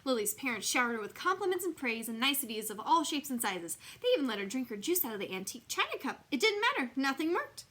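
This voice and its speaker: A woman reading a picture book aloud at bedtime, playful and expressive, turning to the listener now and then. Her voice sits a bit high and rises and falls a great deal. The reading is animated from line to line. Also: fast talker, few pauses. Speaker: storyteller.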